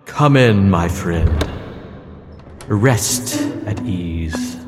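A man's voice: sits low, lively intonation, very slow, a little mellow, faint music behind. A man co-hosting a casual tech podcast with a dry, wry delivery. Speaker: podcast co-host.

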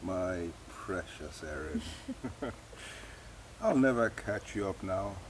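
A man's voice: deep voice, expressive, slow, slight background noise. Ageing medic dad man acting, self-mocking and evasive, takes his time, naturalistic audio-play delivery. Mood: tender, sad. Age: older.

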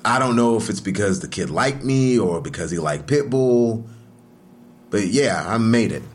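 A guarded street artist played by a man answers questions in an audio drama. His voice is a little low, and his delivery is natural, terse and defensive. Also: lively intonation, moderate pace, lightly acted.

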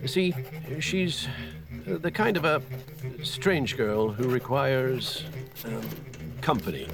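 A man's voice: mid-range voice, lively intonation, slow pace, creaky. An actor playing an awkward dying father who hesitantly rambles through a letter about his daughter, in comedic audio fiction. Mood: awkward, fumbling. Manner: hesitant, rambling, fumbling for tactful words.

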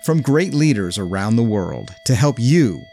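A man's voice: medium pitch, very animated, normal speed, warm tone, slightly rough, faint music behind. A man reads the scripted opening of a business podcast in a polished, upbeat announcer style. His voice sits in a middle register.

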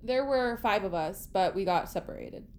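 An actress playing a sarcastic, starstruck young woman, a blunt survivor with a deadpan, snarky delivery in an audio drama. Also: medium pitch, normal speed, slight background noise, close to an everyday voice. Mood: dry, uneasy.

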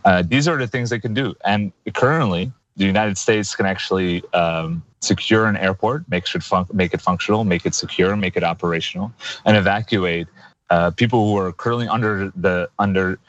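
A man in his thirties with a low, deep voice talks earnestly, stopping and restarting as he builds his points. The sound is dull and lo-fi, like remote audio. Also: a bit bright. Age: 30s. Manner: earnest, halting with many fillers and restarts. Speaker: guest activist. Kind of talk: interview answers.

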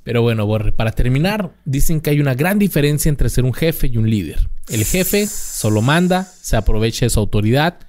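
A man's voice: mid-range voice, lively intonation, brisk, few pauses, darkish tone. A young man with a Mexican Spanish accent co-hosting a casual chat, reading facts aloud between laid-back, slangy banter. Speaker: podcast co-host.

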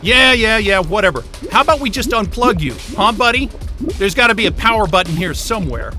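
A man's voice: high voice, expressive, brisk, over music. An actor playing a hot-tempered, sarcastic male scientist in an audio drama, agitated, profane and combative. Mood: mocking, defiant. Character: hot-tempered, sarcastic male astrophysicist trapped in a remote station.

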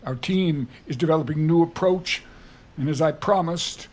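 An actor playing a defensive mayor in an audio drama, giving measured, formal, evasive answers as if at a press conference. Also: male voice, fairly high pitch, close to an everyday voice. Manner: measured, evasive political speech. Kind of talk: audio drama acting, formal public address.

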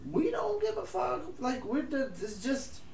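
A young man whose first language is Spanish, co-hosting a casual podcast chat in an animated, slangy way with lots of swearing. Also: extremely high pitch, measured pace, gravelly. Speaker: podcast co-host. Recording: echoey room with faint noise.

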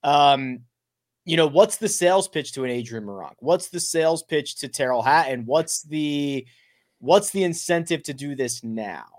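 A man hosting a casual sports podcast, animated and jokey. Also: a little high, normal speed. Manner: animated, jokey, some restarts. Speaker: podcast host.

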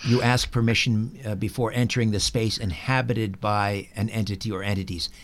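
A man hosting an interview, putting questions and points to guests in a measured way. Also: lowish voice, fairly even intonation. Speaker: interviewer, show host.

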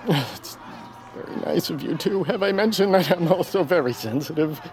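A meek, sensitive cartoon fellow, played by a man, gentle and hesitant. His slightly high voice moves around a lot, with clear noise behind it. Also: animated, normal speed, natural voice. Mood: touched, bashful. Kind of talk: audio fiction cartoon-style acting.

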